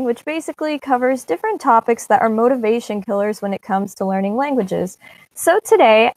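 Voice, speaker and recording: A woman's voice: high-pitched, no room sound, rough recording. A young adult woman hosting a casual interview, asking friendly, upbeat questions. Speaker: host.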